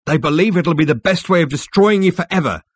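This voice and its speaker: A man playing an earnest galactic conspirator, pitched up. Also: fast, breathy edge, no echo. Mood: urgent, grave. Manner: emphatic, explanatory, insistent. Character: Rooster, earnest, urgent male galactic conspirator. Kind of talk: audiobook character dialogue.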